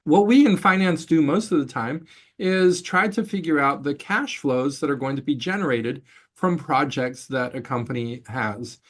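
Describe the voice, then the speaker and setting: Halting male finance lecturer. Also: a little high, a bit bright. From Brazil. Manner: halting, many fillers and restarts. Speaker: finance professor. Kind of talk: online masterclass lecture.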